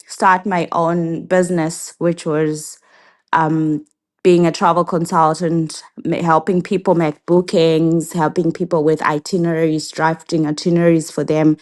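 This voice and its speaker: Low, unhurried woman in her thirties from Zimbabwe. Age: 30s. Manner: reflective, many filler pauses and restarts. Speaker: guest. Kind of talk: casual interview answers.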